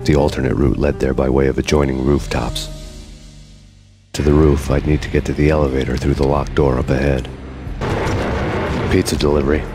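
A hardboiled American cop, extremely low in pitch, narrates his noir game story. He is terse, brooding and world-weary, with a deadpan delivery. Loud music plays under him. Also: a little fast, pauses often. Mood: curt, deadpan.

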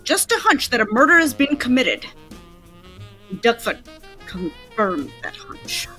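A woman playing a baffled, earnest male police detective in a 1940s-style radio drama, brisk and questioning. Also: on the high side, sing-song, pushed and bright, soft background music, exaggerated voice. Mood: baffled, intent.

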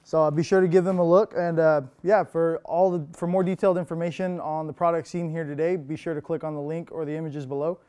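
A young man hosting a casual product rundown in a tackle shop, relaxed and loose with plenty of filler words. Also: fast talker, few pauses, clear, resonant tone. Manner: relaxed, lots of fillers, upbeat pitches. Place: indoor tackle shop with lure wall.

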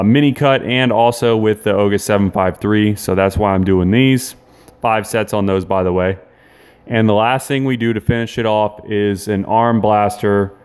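Brief: young male fitness vlogger, slightly low voice, fast casual chat, camera at arm's length. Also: smooth. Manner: quick, chatty, loose with fillers. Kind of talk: casual vlog narration. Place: in a car, then gym voice-over, then kitchen. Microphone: camera held at arm's length.

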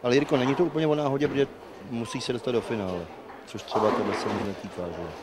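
A man organizing a bowling tournament, talking casually into a handheld mic with relaxed fillers. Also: medium pitch. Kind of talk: casual on-camera bowling chat. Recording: noisy crowded room. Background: loud crowd chatter.

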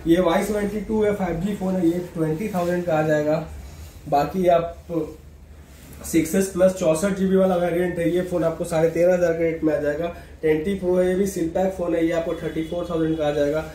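A man gives a matter-of-fact sales pitch as a shop seller, listing products and mixing in English words. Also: level tone, brisk, mellow. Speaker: second-hand phone shop seller. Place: small indoor phone shop. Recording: echoey room, clear background noise.

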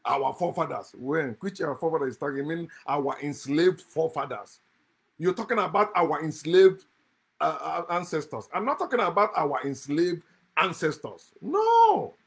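A man's voice: a little high, big pitch swings, normal speed, gravelly, fairly bright tone, slightly rough. A middle-aged man gives a fiery, scornful monologue to camera from a room indoors, chuckling between his points. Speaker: channel host. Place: indoor room, seated at a desk.

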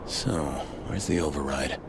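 A man acting a wry, laid-back survivor hero in a zombie video game, with a gravelly, sardonic mutter. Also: rumbling low, measured pace, very noisy, full character voice. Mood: wry, focused. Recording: music behind the voice.

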